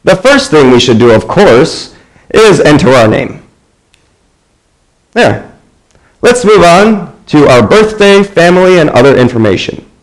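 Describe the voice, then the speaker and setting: A man in his thirties from the United States, narrating an English lesson in a clear, deliberate way. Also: on the high side, big pitch swings, low-quality recording. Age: 30s. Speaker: English teacher. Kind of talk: scripted classroom narration.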